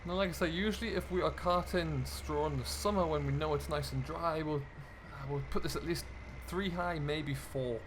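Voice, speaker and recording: A man's voice: fairly high pitch, moderate pace, hardly any pauses. A British man giving casual, explanatory gameplay commentary, musing aloud as he goes. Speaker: video game streamer, host. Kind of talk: casual commentary over farming simulator role play. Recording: clear engine and vehicle noise behind. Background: game tractor and loader engine sounds.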